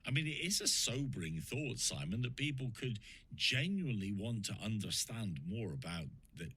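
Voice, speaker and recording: A man's voice: on the low side, very animated, normal speed, fairly bright tone, slightly rough. A man hosting a radio interview who asks his guest probing, leading questions. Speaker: radio presenter.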